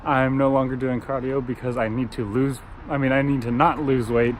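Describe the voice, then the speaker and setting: A man's voice: mid-range voice, little pitch movement, moderate pace, slight background noise. A young man talking casually to the camera in a video diary. He is chatty and pokes fun at himself. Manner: chatty, self-deprecating, laughs at himself. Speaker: vlogger.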